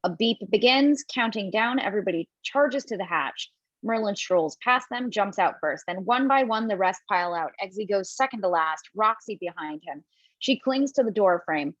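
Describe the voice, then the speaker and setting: A woman's voice: mid-range voice, expressive, moderate pace, dry recording. A woman reading the stage directions of a script in an online table read, in a plain, matter-of-fact way. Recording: dry, dull home mic.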